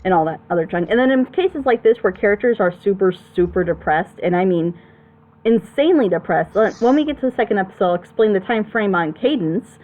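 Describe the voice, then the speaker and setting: A woman's voice: mid-range voice, lively intonation, fairly quick, phone-quality sound. A woman giving casual critical commentary on storytelling as a panelist, emphatic and opinionated, explaining her points at length. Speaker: panelist on a reaction show.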